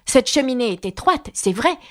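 A woman's voice: high-pitched, very animated, moderate pace, pitched above the performer's own voice. A woman voicing a plucky, curious young storybook girl, spirited and given to musing to herself. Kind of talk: storybook character reading.

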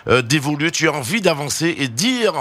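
Bright-voiced man hosting an interview. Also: a little high, sing-song, no room sound. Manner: wordy, halting, repeats phrases. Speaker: radio show host. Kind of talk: informal interview.

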